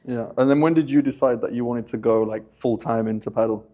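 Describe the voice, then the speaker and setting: Fast-talking male podcast host. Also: mid-range voice, steady tone, dark tone. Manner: chatty, long leading questions. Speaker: interview host. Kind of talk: casual interview.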